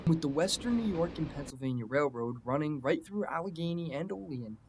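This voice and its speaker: A man's voice: fairly high pitch, expressive, brisk, slight background noise. A young man delivering scripted broadcast news as a student TV reporter. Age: young adult.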